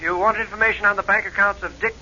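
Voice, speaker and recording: A man's voice: sits high, fairly even intonation, fast, pushed and bright, full character voice. An actor playing a polite, efficient male bank official in a 1940s radio drama. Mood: polite, helpful. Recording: dull, thin 1940s radio recording.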